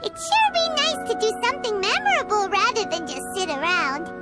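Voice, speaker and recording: Tiny game fairy sidekick: very high childlike squeak, excitable, chatty, lively pitch swings, prominent music behind. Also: female voice, a little fast. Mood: cheerful, eager. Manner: exclamatory, refers to self in third person. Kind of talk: animated video game character acting. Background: background music.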